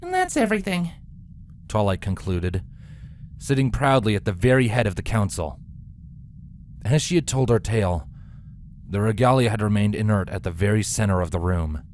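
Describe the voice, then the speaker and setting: A man's voice: lowish voice, normal speed, darkish tone, slight background noise. A man reading a scripted story as a dramatic narrator. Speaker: fan fiction narrator.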